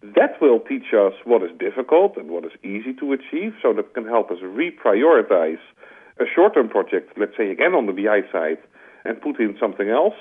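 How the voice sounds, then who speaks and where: A man with a Dutch accent answering interview questions, explaining his points conversationally with analogies and "like" fillers. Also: lowish voice. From Netherlands. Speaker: interview guest, corporate strategy executive. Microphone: telephone. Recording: tinny phone line.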